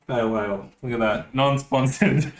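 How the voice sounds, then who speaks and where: A man's voice: medium pitch, a little slow. A young Australian man co-hosting a podcast in a casual, rambling way, often correcting himself as he goes. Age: young adult. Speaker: podcast co-host. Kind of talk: casual chat.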